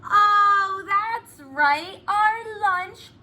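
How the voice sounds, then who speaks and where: A young storybook schoolchild, acted out by a woman reading aloud, playfully correcting a teacher. The voice is high and bright, exclaiming and slowly leaning on key words. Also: heavily acted. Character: chorus of young pupils correcting their silly teacher.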